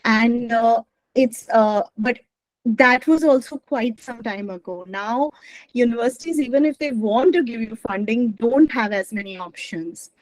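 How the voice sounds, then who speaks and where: A woman's voice: a little high, unhurried, no echo, low-quality recording. Gujarati-speaking woman presenting over video call. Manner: rambling, self-correcting, apologetic. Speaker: guest speaker, academic. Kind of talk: online presentation.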